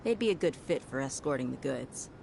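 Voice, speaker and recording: A woman's voice: a bass voice, animated, a little fast, gravelly, darkish tone, a little hiss or hum, full character voice. An actress voicing a secretive, persuasive woman in a video game, coaxing in a conspiratorial way. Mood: sly, persuasive. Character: secretive, persuasive woman striking a business deal.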